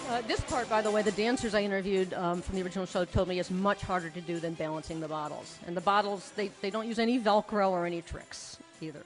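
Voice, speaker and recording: Female lecturer, mid-pitched, articulate storyteller reading prepared text; echoing hall, music underneath. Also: fast talker, hardly any pauses. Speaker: lecturer, author presenting research. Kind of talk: prepared lecture read aloud to an audience. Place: large hall at a conference. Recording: reverberant hall, music playing behind.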